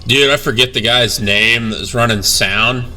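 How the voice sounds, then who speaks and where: A young man, a bassist, talking casually about his band's video in a laid-back, rambling way with lots of fillers and some swearing. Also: measured pace, bright, forceful tone, recorded from a distance, faint music behind. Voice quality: low voice. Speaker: bass player reacting to his band's video. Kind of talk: casual reaction vlog.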